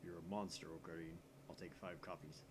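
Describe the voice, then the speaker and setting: A man voicing a soft-spoken, easygoing young male sidekick in a visual novel, understated and a little sly. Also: low voice, fairly even intonation, a little fast, background noise, pitched below the performer's own voice, close to an everyday voice. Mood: teasing. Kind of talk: visual novel character reading.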